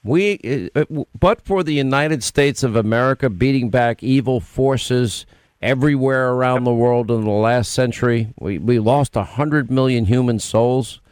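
Radio host guy, punchy and opinionated, mid pitch, dry mic. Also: darkish tone, clean tone, no echo. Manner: emphatic, rapid-fire, opinionated. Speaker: radio talk show host. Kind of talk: talk radio interview.

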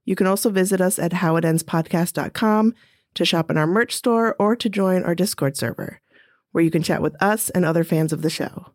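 A woman host reading scripted podcast announcements in a steady, clear way. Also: on the low side, no room sound, studio quality.

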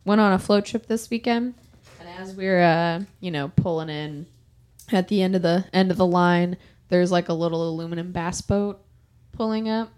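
A woman's voice: on the low side, fairly even intonation, normal speed, a little mellow, smooth. A young woman co-hosting a podcast, telling a story casually, laughing often and swearing freely. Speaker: podcast co-host.

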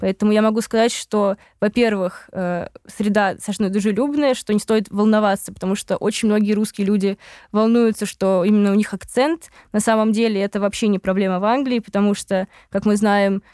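A woman's voice: medium pitch, steady tone, brisk, a little mellow, clean tone, dry recording, clean studio sound. A young woman answering interview questions about her internship, with frequent hesitations and restarts. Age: young adult. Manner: hesitant, many fillers and restarts.

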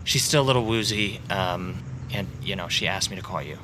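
An actor as a helpful male passer-by in an audio drama. He sounds kindly and a little awkward, hesitating as he talks, with clear street noise behind him. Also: sits low, a little fast, natural voice. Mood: hesitant, polite. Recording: noisy street ambience behind. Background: street and vehicle noise.

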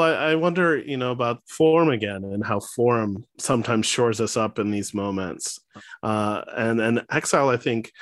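A man with a middle-pitched voice hosts a poetry interview. He thinks out loud and leads into open questions. The recording is dry and close. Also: no room sound. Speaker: interview host. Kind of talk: conversational interview.

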